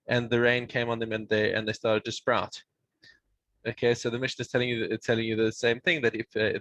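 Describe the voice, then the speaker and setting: A man teaching a Mishnah study session over a video call, explaining in a halting way with many restarts. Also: medium pitch, fairly bright tone, no echo, poor audio.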